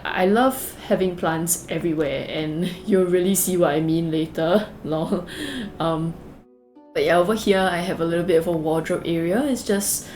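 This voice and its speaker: Chatty Singaporean woman, low-pitched. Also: darkish tone. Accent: Singaporean English. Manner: chatty, rambling, many fillers and "like"s. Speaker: gaming video creator. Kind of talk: casual game tour narration. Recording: faint background music.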